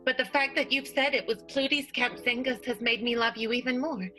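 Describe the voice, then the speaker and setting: A woman's voice: on the high side, steady tone, a little fast, bright, forceful tone, faint music behind, rough recording. A woman voicing a lovesick young woman in an improvised radio play, melodramatic and breathless. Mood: gushing.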